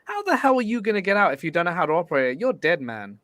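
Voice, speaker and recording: Higher-voiced man, dry close sound, casual debating. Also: sing-song, very fast, a bit bright, no room sound. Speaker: debate show guest.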